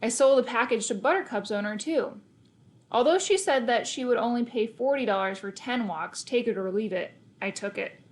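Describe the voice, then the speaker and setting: A woman's voice: fairly high pitch, moderate pace. A woman narrating a children's novel aloud in the first person, expressively, with emphasis on key words. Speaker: storybook reader.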